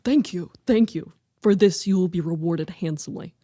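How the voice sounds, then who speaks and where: A commanding merchant father , acted by a woman, from a radio drama. The voice is fairly low for a female one, formal and emphatic. The recording is close and dry. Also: moderate pace, dark tone, no room sound, natural voice. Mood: grateful, urgent. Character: proud, commanding merchant father of a grown daughter. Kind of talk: radio play acting.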